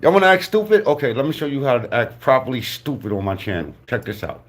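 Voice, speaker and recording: A man's voice: medium pitch, big pitch swings, fast talker. A man giving casual, joking reaction commentary on a video, loose and profane, often repeating himself. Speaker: reaction streamer. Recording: roomy echo, faint noise.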